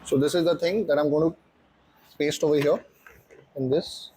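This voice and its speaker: A man's voice: a little high, steady tone, moderate pace, mellow, breathy edge, rough recording. A man presenting a casual vlog walkthrough, explaining things step by step. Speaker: motorcycle vlogger and presenter. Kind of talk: casual unboxing and installation walkthrough.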